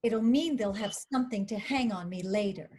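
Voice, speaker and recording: A woman's voice: mid-range voice, moderate pace, mellow, lightly acted. A woman acting a shrewd, reluctant, brusque television star and boss in a screenplay table read. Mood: wary. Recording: faint background noise, online call.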